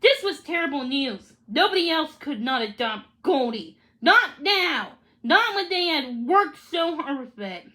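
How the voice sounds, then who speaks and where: A woman with a high voice reads a children's story aloud. She takes her time, and her pitch moves around in a lively way. Her reading is halting, with small stumbles and repeated words. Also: poor audio. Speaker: read-along reader.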